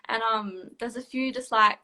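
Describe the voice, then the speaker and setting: A woman's voice: fairly high pitch, normal speed, bright, forceful tone. A young woman with an Australian accent giving casual interview answers as a songwriter. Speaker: songwriter, competition winner.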